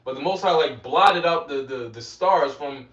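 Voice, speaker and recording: Man, higher-pitched voice, emphatic scripture teacher, repeats and prompts, far from the mic, muffled sound. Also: normal speed, a bit bright. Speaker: street preacher and teacher. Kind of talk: interactive scripture teaching with commentary. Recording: dull, echoey, distant from the mic.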